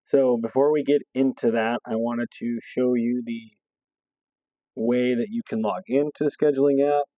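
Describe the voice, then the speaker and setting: A hoarse man from a fire district staff, explaining a scheduling app step by step and checking in with "okay" as he goes. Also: medium pitch, steady tone, moderate pace, dark tone, no room sound, dull audio. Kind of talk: screen-recorded software walkthrough.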